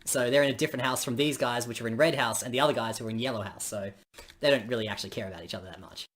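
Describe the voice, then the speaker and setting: A woman's voice: extremely low pitch, very fast. A woman streamer chatting casually about a game that she made. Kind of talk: casual chat while playing own visual novel.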